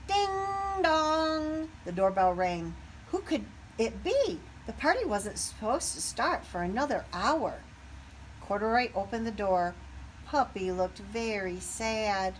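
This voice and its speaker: A woman reading a children's picture book aloud expressively, pausing to put questions to young listeners. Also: medium pitch, slight background noise, low-quality recording.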